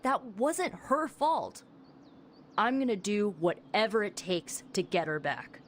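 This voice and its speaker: A woman's voice: mid-range voice, big pitch swings, slow, a little hiss or hum. An actress playing a defiant, confident young witch in a video game, impassioned and colloquial with a sarcastic edge. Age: young adult. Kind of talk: dramatic video game acting.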